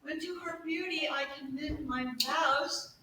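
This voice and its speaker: An amateur actor playing a false-hearted, scheming young gentleman lover in a staged Shakespeare reading, declaiming measured verse. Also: high-pitched, steady tone. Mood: conflicted, brooding. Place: live stage reading in a roomy space. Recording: echoey room, distant mic, faint noise.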